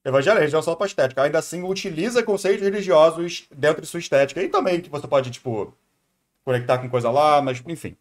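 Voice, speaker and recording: A man's voice: a little high, quick pace. A man with a Brazilian accent chatting casually as a streamer, rambling, thinking aloud and repeating himself. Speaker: streamer reacting to a video. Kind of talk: casual live stream chat.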